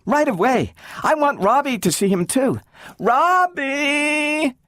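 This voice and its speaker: A man reading aloud and voicing an eager little girl who turns tearful, in a shrill pleading voice. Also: animated, takes it slowly, a bit bright, no echo, clean studio sound, exaggerated voice.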